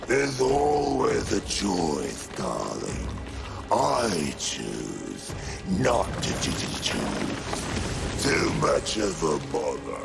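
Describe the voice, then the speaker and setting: A man voicing a huge, lazy, mocking demon of sloth in a game cutscene, with a gravelly, languid, theatrical drawl. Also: very deep voice, huge pitch swings, extremely slow, full character voice. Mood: bored mockery. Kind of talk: video game cutscene acting. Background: loud music.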